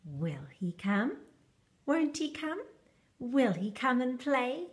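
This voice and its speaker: Slow, higher-voiced woman reading a storybook. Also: very animated. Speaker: author reading own picture book. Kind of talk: expressive children's storybook read-aloud.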